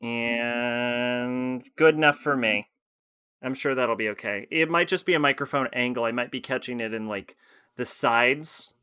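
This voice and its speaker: Bright, clear-voiced male streamer. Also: medium pitch, unhurried. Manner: chatty, rambling, talks to his chat and answers questions. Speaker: live streamer. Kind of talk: casual live-stream chat to viewers.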